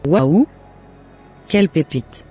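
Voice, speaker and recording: A man voicing a jealous, scheming mother and neighbour in an animated moral drama, with deliberate scripted phrasing. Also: a little high. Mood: falsely friendly. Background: faint music.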